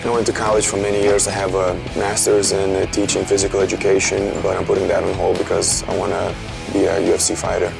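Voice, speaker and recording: A man's voice: low-pitched, completely flat delivery, quick pace, creaky, poor audio. A man in his twenties from Lithuania, a fighter speaking in a promo interview, plain and determined. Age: 20s. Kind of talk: interview soundbites in a promo package. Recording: music bed under the voice.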